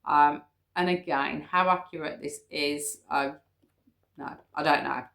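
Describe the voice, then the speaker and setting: Low, gravelly-voiced British woman in her fifties. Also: steady tone, a little slow, fairly bright tone. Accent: British English. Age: 50s. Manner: chatty, explains figures step by step, frequent ums. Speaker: weight-loss vlogger. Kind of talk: casual talk to camera.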